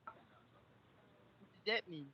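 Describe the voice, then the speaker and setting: A woman making a phone call as a customer, speaking in an insistent, excitable way. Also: slow pace, slight background noise. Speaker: caller, online game customer. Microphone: telephone line. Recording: muffled lo-fi phone call audio.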